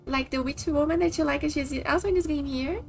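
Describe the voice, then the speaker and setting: A woman's voice: high voice, a little fast, gravelly. A woman from Brazil with a Brazilian accent, whose first language is Portuguese, chatting casually and rambling while streaming a game. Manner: chatty, rambling, many likes and kinda. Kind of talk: casual live-stream chat. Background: faint game music.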